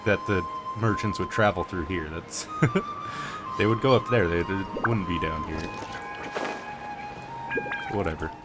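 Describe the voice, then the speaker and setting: Man, lowish voice, varied intonation, casual gamer commentary, low-key, thinking aloud, loud game music behind. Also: a little slow, long pauses. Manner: low-key, musing to himself. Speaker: gamer playing a story quest.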